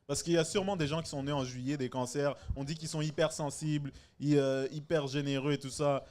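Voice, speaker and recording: A man's voice: a little high, steady tone, brisk, a little mellow. A man in his thirties, originally from Senegal, talking as a comedian guest on a live podcast. He jokes, laughs often and restarts his sentences. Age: 30s. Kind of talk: casual live podcast chat. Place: live venue before a stand-up show.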